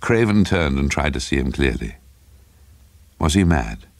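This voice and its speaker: A man narrating a dark literary story in a dramatic, scripted storytelling style. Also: extremely low pitch, very animated, a little slow, no room sound.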